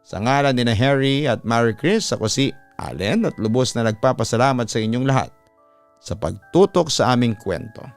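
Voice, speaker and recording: A man's voice: mid-range voice, expressive, normal speed, soft background music. A man, a radio announcer, reading a first-person horror story as dramatic narration.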